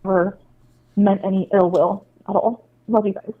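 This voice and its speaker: A woman leaving a halting, pleading apology on voicemail, sounding anxious and close to tears. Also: mid-range voice, flat delivery. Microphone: phone. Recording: tinny, narrow-band phone voicemail.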